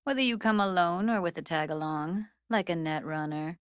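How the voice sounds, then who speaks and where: A woman's voice: lowish voice, normal speed, clean tone, no echo, studio quality, close to an everyday voice. An actress playing a tough, candid young woman technician in a video game, talking in a casual, slangy, blunt way. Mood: businesslike, casual. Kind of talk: naturalistic game voice acting.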